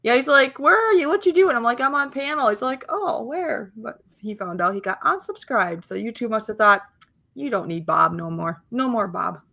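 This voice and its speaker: A woman chatting casually as a guest on a livestream, quick and talkative with laughing asides. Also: fairly high pitch, expressive, creaky, sharp tone.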